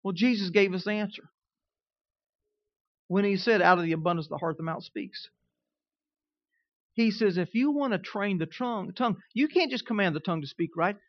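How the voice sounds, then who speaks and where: Preacher, man, 50s, Southern twang, high voice, chatty delivery; dry, muffled recording. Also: moderate pace, long pauses, no echo. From Kansas. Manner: conversational preaching, often checks in with listeners. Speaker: pastor. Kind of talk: sermon.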